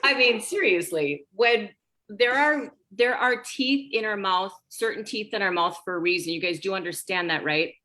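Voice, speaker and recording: Animated woman in her sixties, bright-voiced. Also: medium pitch, normal speed. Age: 60s. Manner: animated, talks straight to the group as "you guys". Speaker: women's health coach. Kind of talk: casual group video call chat.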